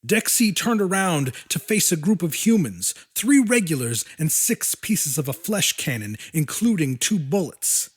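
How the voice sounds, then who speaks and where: A man narrates a dramatic audiobook. His voice is fairly high for a man, with lively pitch movement and a slow, deliberate pace. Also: breathy edge. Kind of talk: dramatic scripted fiction narration.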